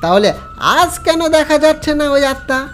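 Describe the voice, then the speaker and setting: A man playing a dismissive village man in a horror audio story, grumbling in a halting, drawn-out way. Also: extremely high pitch, animated, soft background music, pitched above the performer's own voice.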